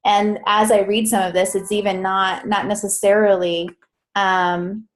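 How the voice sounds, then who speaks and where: A woman's voice: mid-range voice, level tone, unhurried. A woman teaching grammar in a live online session, speaking into a computer mic. She is chatty and casual, often stops to correct herself and laughs off small mishaps. Her voice sits in an ordinary middle range. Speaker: Spanish interpreting coach.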